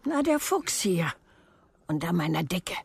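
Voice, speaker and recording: Cranky old woman, swooping pitch. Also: very animated, unhurried, gravelly, husky, heavily acted. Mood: grumbling, confiding. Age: older. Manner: blunt, grumbling. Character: cranky, peculiar old woman patient in a deckchair. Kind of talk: radio drama acting.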